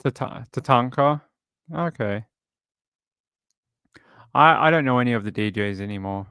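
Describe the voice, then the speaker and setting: A man's voice: medium pitch, lively intonation, unhurried, a bit bright, smooth, no echo, studio quality. A man from Sydney with an Australian accent, hosting a livestream in casual, rambling chat with his viewers. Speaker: livestream show host.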